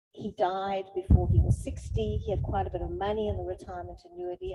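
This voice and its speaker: A woman's voice: mid-range voice, mellow. A middle-aged woman giving an informal, reflective talk as a guest speaker, explaining things directly to her listeners. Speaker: guest speaker on relationships and life stages. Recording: noisy, dull lo-fi sound with clicks.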